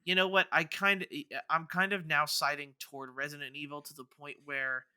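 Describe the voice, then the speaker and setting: Man, podcast co-host, very bright and forceful, crisp clear voice, casual debate, thinking aloud. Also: fairly high pitch, level tone, brisk, dry recording, clean studio sound.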